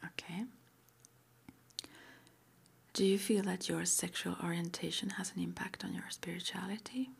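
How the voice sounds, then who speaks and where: A woman playing a calm, gentle witch school interviewer in an ASMR roleplay, whispering softly and reassuringly without hurry. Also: lowish voice, gravelly, slightly rough, full character voice. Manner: soft, unhurried, reassuring, with murmured acknowledgements.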